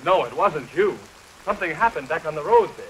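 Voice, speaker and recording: An actor playing an earnest, polite young bridegroom in an early 1930s film, trusting and clipped in speech. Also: male voice, a little high, animated, fairly quick, a bit bright, poor audio. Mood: uneasy. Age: young adult. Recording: hissy 1932 film soundtrack, rain behind.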